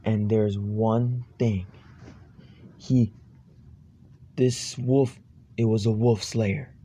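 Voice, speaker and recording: A man improvising an amateur campfire horror story in a halting, ominous manner. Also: fairly low pitch, fairly even intonation, dark tone, slight background noise. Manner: halting, ominous, stumbling over phrases.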